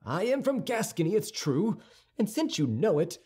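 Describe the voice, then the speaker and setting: Man voicing a high-pitched, hot-headed young swordsman. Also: big pitch swings, normal speed, a little mellow, slightly rough, full character voice. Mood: indignant, proud. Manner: impetuous, indignant, challenging. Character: hot-tempered, proud young Gascon swordsman, quick to challenge. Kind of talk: audiobook character dialogue.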